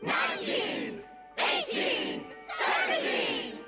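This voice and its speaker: Game theatre audience: very high, slow chanted shouts, excited, crowd and music. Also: female voice, heavily acted. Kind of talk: animated video game acting. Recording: crowd of voices with music behind.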